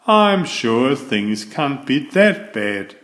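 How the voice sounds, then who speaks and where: Kindly storybook neighbour, voiced by a male narrator: forgiving, reassuring, lively intonation, measured pace. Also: medium pitch, heavily acted.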